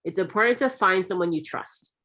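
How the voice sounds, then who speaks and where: A woman hosting an interview, chatty and encouraging, talking in long, quick runs. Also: on the low side, lively intonation, a bit bright. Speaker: interview host. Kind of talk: casual interview conversation.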